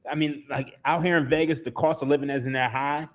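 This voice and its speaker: A man's voice: a little high, steady tone, brisk, slight background noise. A man, a podcast guest telling stories in casual chat, laughing and swearing freely. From Philadelphia, USA. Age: 30s. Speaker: podcast guest, sports writer.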